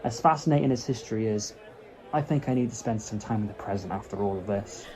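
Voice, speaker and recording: A man's voice: lowish voice, quick pace, a little mellow, natural voice, low-quality recording. A man playing a curious, earnest human companion to a time traveller in a fan audio drama, with a questioning manner. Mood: sincere.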